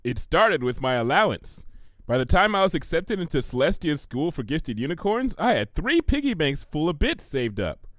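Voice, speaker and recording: A man's voice: fairly high pitch, big pitch swings, normal speed, fairly bright tone, dry recording. A man voicing a prim, studious young female unicorn pony with a precise, pedantic, dignified way of talking, in a fan fiction reading. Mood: earnest, explanatory.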